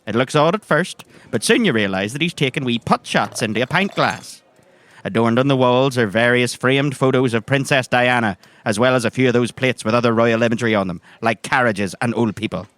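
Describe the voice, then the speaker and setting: A man's voice: medium pitch, fairly bright tone, no echo. A man, narrating descriptively as game master.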